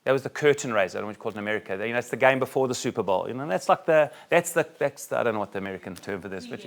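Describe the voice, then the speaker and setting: A middle-aged South African-born rabbi giving a talk to a group and taking questions, joking and animated, with many restarts. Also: male voice, medium pitch, very fast, creaky. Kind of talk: talk with audience Q&A. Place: room with a live audience.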